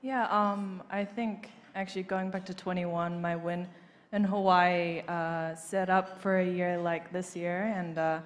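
A young woman in her twenties talking casually on stage into a handheld mic, relaxed, with lots of fillers and light laughs. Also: fairly low pitch, a little slow. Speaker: golfer being interviewed on stage. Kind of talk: casual interview. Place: large banquet hall stage. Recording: reverberant hall, faint noise.

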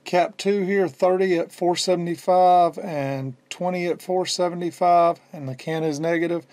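A man in his fifties giving a casual hands-on demonstration at a workbench indoors, explaining in a halting way as he thinks aloud. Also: mid-range voice, darkish tone. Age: 50s. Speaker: electronics restorer and presenter.

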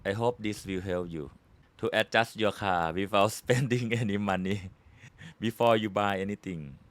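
A man's voice: fairly low pitch, normal speed, a bit bright, dry recording. A man from Thailand with a Thai accent, hosting his hobby channel and patiently explaining a how-to in simple English. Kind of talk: casual how-to tutorial.

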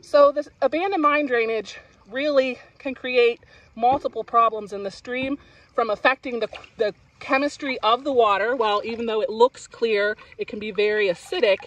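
Woman, thirties, outdoor creekside explainer, frequent ums and uhs, slightly high voice, dry distant sound. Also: steady tone, normal speed. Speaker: program director explaining stream pollution. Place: outdoors beside a small stream. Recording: dry, a little distant from the mic.

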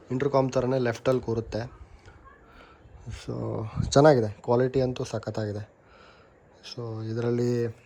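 A young man with a Kannada accent talks through a product unboxing in a relaxed, chatty way. His voice is warm and mellow, pitched at an ordinary male middle. He drops English words into his sentences and corrects himself on the fly. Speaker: motovlogger reviewing a helmet intercom. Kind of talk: casual product review and unboxing.

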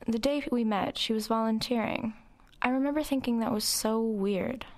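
A woman's voice: fairly high pitch, moderate pace, darkish tone, lightly acted. A woman playing a wry, reflective narrator recalling her troubled girlhood in a confiding first-person dramatic narration.

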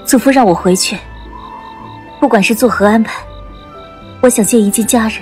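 A woman playing a reserved young martial disciple in an audiobook drama, gentle, hesitant and introspective. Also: a little high, normal speed, faint music behind, lightly acted. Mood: resolved, earnest. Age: young adult.

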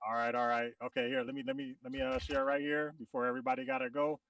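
A man's voice: mid-range voice, lively intonation, quick pace, vocal fry, fairly bright tone, dry recording. A man hosting a group video call, chatting casually and rapping. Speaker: host and rapper. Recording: distant video-call sound over music.

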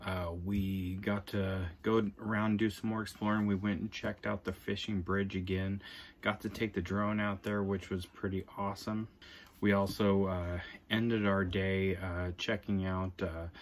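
Guy in his 30s vlogging his campsite, kinda monotone, relaxed, camera held out at arm's length. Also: low voice, unhurried. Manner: relaxed, many filler "uh"s. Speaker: camping vlogger. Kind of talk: casual vlog narration. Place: outdoors in a forest campground, later inside an RV.

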